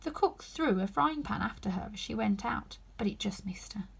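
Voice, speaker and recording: A woman narrates a story for children. Her delivery is expressive and emphatic, with pitch that moves up and down a lot. The voice has a soft husky breathiness. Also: normal speed. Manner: animated, emphatic. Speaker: storybook reader.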